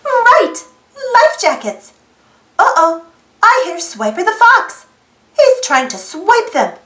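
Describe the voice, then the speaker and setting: A woman reading a children's picture book aloud in an animated way, putting questions to young listeners. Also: very high-pitched, takes it slowly, reverberant. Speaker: storybook read-aloud host.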